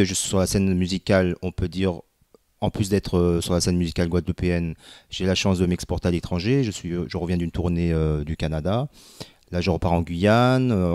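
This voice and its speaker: A man with a very low voice, recorded close and dry with no room sound. He answers as a guest singer in a relaxed, halting way, often pausing to fill gaps. He is from Vietnam. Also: dry recording. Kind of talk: casual interview answers.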